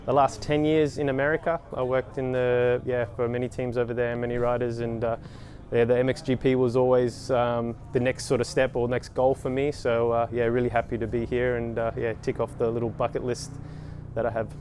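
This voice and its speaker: A man in his thirties, a race mechanic talking casually in an interview, with many pauses and restarts. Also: medium pitch, flat delivery, a little mellow. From Australia. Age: 30s. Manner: hesitant, many ums and restarts.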